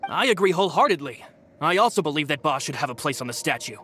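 A man's voice: fairly high pitch, expressive, quick pace, bright, forceful tone, faint music behind. An actor playing an eager, respectful young male subordinate in a video game, earnest and formal. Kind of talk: video game character acting.

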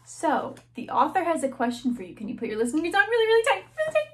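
Casting: young woman teacher, bright high voice, lively kids' storytime delivery. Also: racing. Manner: animated, gasping, questions to young listeners. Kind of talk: children's read-aloud. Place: small classroom-style room indoors.

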